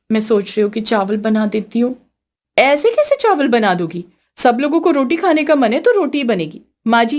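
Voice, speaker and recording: A woman voicing a complaining young daughter-in-law in a cartoon story, whiny and argumentative. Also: high voice. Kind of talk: Hindi cartoon story acting.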